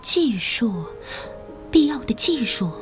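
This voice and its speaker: A woman's voice: a little high, very animated, takes it slowly, soft background music. An actress playing an earnest young female schoolteacher in an audio drama, polite, careful and formal in the way she speaks. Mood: surprised questioning. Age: young adult.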